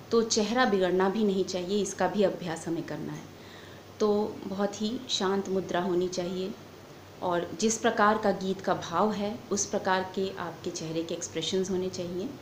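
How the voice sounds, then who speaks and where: Echoey woman teaching. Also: mid-range voice. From India. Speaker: music lecturer, vocal teacher. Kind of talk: instructional lecture on singing. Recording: echoey room with faint background noise.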